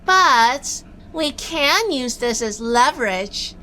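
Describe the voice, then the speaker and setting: A high, bright-voiced female private eye played by an actress in a noir radio play. She is sardonic and bossy, with lively swooping delivery and a hidden soft spot. Faint noise sits behind her. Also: slow, heavily acted. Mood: sharp, exasperated. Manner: sardonic, scolding. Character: wisecracking female 1940s private eye, bossy, secretly lovestruck. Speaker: lead actor and narrator. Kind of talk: noir audio drama acting with narration.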